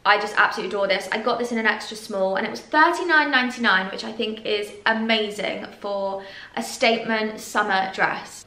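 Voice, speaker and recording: A woman's voice: fairly high pitch, bright, forceful tone. Gushing British woman in echoey room. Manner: gushing and enthusiastic. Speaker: fashion vlogger. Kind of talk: casual clothing haul to camera. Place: bright room indoors.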